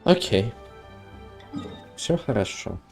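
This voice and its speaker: A man's voice: mid-range voice, big pitch swings, takes it slowly, gravelly, soft background music. A man who is a native Russian speaker with a Russian accent, casually commenting on a game as he plays. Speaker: let's play streamer.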